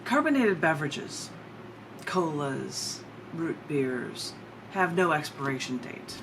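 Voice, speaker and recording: Low-voiced woman poet, noisy recording. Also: sing-song, slow. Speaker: poet reading own work. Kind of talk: live poetry reading with chatty introductions.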